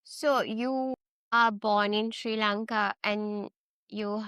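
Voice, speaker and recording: A woman's voice: medium pitch, fairly even intonation, takes it slowly, fairly bright tone, clean tone, no room sound. A woman co-hosting an interview, asking a guest long, friendly, rambling questions. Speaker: co-host.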